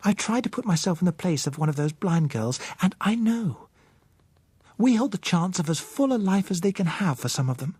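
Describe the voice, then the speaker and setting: A man's voice: high voice, sing-song, normal speed, darkish tone, breathy edge, dry recording, pitched above the performer's own voice, full character voice. A man voicing an earnest, idealistic young woman who speaks with tender, pleading emphasis in an audiobook. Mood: earnest conviction.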